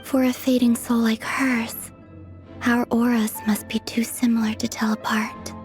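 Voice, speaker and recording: A woman playing a serene, enigmatic moon goddess in a video game, soft and poetic. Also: a little high, flat delivery, a little slow, lightly acted. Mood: serene, pensive. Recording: music behind the voice.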